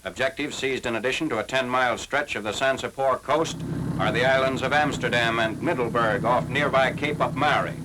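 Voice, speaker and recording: A formal American man narrates a 1940s military newsreel. His voice is mid-pitched and his delivery is clipped and matter-of-fact. The old film soundtrack carries clear background noise. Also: brisk.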